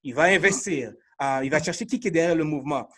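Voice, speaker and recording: A man with a Quebec French accent and a fairly high, husky voice hosts a casual panel interview. He probes his guests, restarting and rephrasing questions as he goes. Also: quick pace. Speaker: podcast host.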